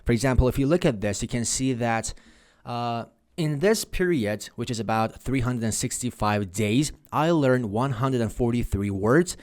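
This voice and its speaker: A man's voice: medium pitch, lively intonation, normal speed, darkish tone. Earnest man explaining. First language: Persian. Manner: earnest, repeats and restarts phrases while explaining. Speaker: language-learning vlogger. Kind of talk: casual tutorial monologue.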